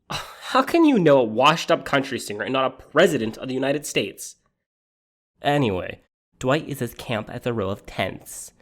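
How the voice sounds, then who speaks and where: Flustered, catty jilted man, swooping in pitch, acted. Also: very animated, normal speed, a bit bright. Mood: catty, exasperated. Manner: rambling, incredulous, campy complaining. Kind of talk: theatrical comic monologue.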